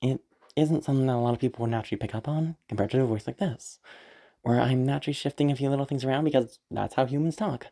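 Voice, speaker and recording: Low-voiced woman, a bit under the weather. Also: quick pace, mellow. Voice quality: low, deep speaking voice. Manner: chatty, rambling, with frequent short laughs. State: a little sick, throat giving out. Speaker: audio roleplay creator. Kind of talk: casual spoken afterword, explaining.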